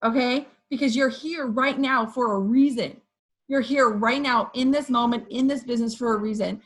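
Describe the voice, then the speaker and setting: A woman with a hoarse voice giving an energetic motivational pep talk to her sales team, often checking in with "okay" and "you guys". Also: fairly high pitch, normal speed. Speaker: direct sales team leader.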